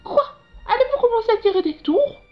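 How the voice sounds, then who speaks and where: A woman's voice: very high-pitched, lively intonation, brisk. A woman voicing an earnest, curious scientist in a comic dub, explaining things in a reflective way. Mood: wary, skeptical. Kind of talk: dubbed comic narration and dialogue. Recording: dull lo-fi sound with music behind.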